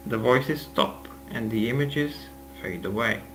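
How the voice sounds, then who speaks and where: A man's voice: medium pitch, measured pace, a bit bright, rough recording. A man reading scripted narration aloud as the narrator of a table read, with music playing behind him.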